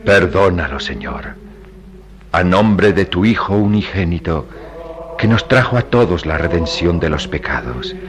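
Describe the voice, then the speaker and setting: An actor playing a devout, forgiving priest in a radio drama, speaking reverently over music. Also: male voice, animated, noisy background. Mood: solemn pleading. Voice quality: very deep voice. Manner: slow, reverent, declamatory. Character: solemn, devout, forgiving priest praying at a graveside. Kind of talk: Latin American radio drama acting. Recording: loud music under the voice.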